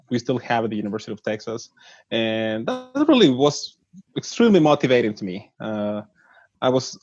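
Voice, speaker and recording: A man's voice: medium pitch, darkish tone, no room sound. An earnest startup chief from northern Mexico, thirties, who restarts his sentences often. The sound is dull and lo-fi, with no room around it. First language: Spanish. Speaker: startup CEO, guest. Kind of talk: interview answers. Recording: dull dry lo-fi audio.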